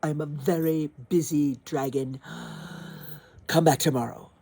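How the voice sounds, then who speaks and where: A woman voicing a boastful, vain male dragon in a storybook reading, sounding grand and self-satisfied, with a very husky voice. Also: deep voice, fairly even intonation, measured pace, mellow, dry recording, heavily acted.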